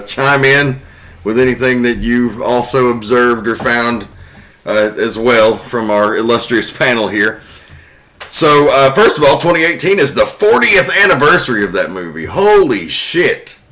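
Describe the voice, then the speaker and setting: A man's voice: mid-range voice, pushed and bright. A man hosting a podcast, presenting film trivia in an informal way. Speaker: podcast host.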